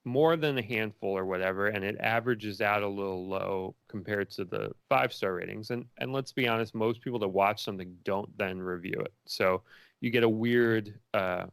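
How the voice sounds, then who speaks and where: A man's voice: lowish voice, moderate pace, creaky, a bit bright, clean tone, no room sound. A man answering interview questions as a filmmaker in a rambling, informal way full of filler phrases. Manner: rambling and informal, many likes and you knows.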